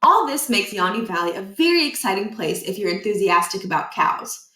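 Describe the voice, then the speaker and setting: Bright-voiced woman reading a children's book. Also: medium pitch, sing-song, a little fast. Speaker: read-aloud storyteller. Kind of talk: expressive children's book read-aloud. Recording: echoey room.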